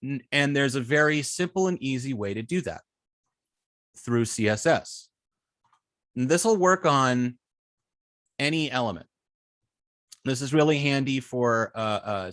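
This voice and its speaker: A man giving a live coding tutorial as an instructor, explaining step by step with halting phrasing, frequent ums and self-corrections. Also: mid-range voice, fairly bright tone, no echo, clean studio sound. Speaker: web development instructor.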